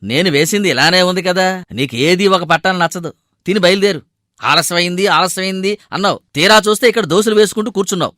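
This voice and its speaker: A man's voice: high-pitched, fast talker, sharp tone, no room sound, studio quality. A man voicing a proud, exasperated dosa seller in a story, with bantering talk.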